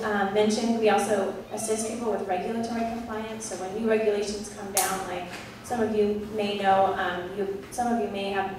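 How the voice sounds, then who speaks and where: A woman with a quiet, soft voice, giving an explanatory talk as a conservation district director, with many ums. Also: mid-range voice. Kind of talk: presentation to a club meeting. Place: meeting room. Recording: echoey room from far off, noisy.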